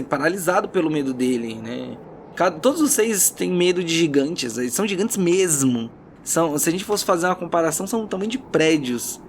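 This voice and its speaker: A man's voice: fairly high pitch, a little hiss or hum. A Brazilian man working as game master, narrating a fantasy tabletop role-playing game dramatically, with informal asides. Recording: music bed behind the voice.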